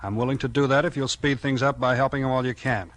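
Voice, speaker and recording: A man with an American accent acting a steady, tough small-town police chief in a 1940s radio crime drama, plain-spoken and authoritative. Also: mid-range voice, quick pace, lightly acted. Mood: firm, businesslike.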